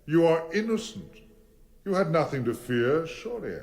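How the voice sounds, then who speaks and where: A man acting a formidable middle-aged barrister in a radio drama, speaking deliberately and rhetorically. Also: fairly high pitch, very animated, darkish tone. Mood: probing, insistent. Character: formidable middle-aged male barrister, probing in court, gentle as father.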